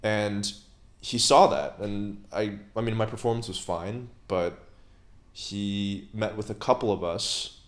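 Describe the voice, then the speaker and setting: A young man who grew up in Hong Kong, an actor talking as an interview guest, measured and reflective, trailing off at the ends of thoughts. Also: on the low side, fairly even intonation. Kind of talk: casual interview conversation.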